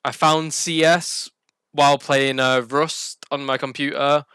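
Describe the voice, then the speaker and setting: A man's voice: on the high side, little pitch movement, unhurried, bright, forceful tone, clear, resonant tone, no room sound, studio quality. A young man with a northern English accent chatting casually as a podcast guest. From Burnley, northern England. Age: young adult. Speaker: professional esports player, guest. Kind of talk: casual chat.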